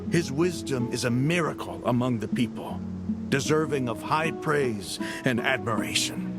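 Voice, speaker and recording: A man voicing a solemn, devout desert mercenary leader, speaking gravely and poetically in video game dialogue. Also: medium pitch, natural voice. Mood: reverent. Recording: loud music behind the voice.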